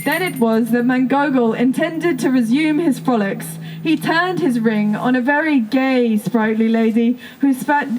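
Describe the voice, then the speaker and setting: A woman's voice: sits high, unhurried, hardly any pauses, rough recording. A woman giving a performed reading of an old literary tale at a live performance. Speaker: performance artist reading a story. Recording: music over an outdoor live performance. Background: music.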